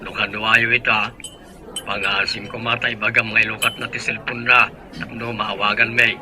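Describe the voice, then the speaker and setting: A man's voice: lowish voice, little pitch movement, moderate pace, sharp tone, some chatter behind. A man acting an earnest young man, a worried friend, in an Ilocano radio-style drama. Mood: concerned.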